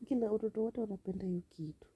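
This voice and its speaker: A woman's voice: medium pitch, racing, warm tone, breathy edge, dull audio. A Kenyan woman co-hosting a podcast in casual, bantering chat. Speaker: podcast co-host. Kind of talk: casual bantering chat, mixing Swahili and English.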